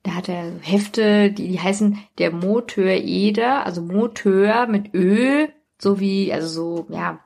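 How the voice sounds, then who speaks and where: A woman's voice: medium pitch, a little slow, creaky, hardly any pauses, a little mellow. Woman in casual anecdotal chat. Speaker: podcast co-host or guest.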